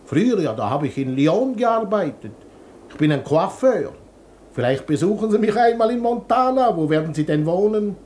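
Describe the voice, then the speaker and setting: An actor in a German radio play playing a chatty, flattering hairdresser from Lyon, effusive and obsequious. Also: male voice, high voice, moderate pace, slight background noise.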